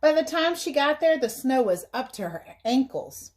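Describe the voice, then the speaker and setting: A woman's voice: high-pitched, very animated, moderate pace, a little mellow. Woman reading a picture book to children. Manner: instructive, simply explains things to young listeners. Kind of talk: read-aloud storytelling with explanatory asides for children.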